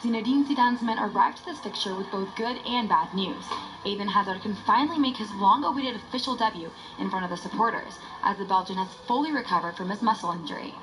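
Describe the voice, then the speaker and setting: A woman's voice: mid-range voice, quick pace. A woman reading a scripted sports preview as a news reporter. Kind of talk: scripted match preview narration. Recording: clear background noise behind voice.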